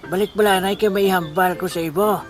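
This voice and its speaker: A man's voice: high-pitched, fairly even intonation, fairly quick, over loud music, lightly acted. A man playing a scheming, money-minded village man in a comedy audio drama.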